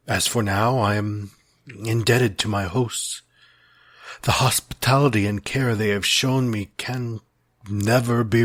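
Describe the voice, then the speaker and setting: A man acting an earnest, lovelorn gentleman of old New York, reading his formal letter in a solemn, wistful, measured way with a husky voice. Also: on the low side, no echo. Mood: tender, solemn.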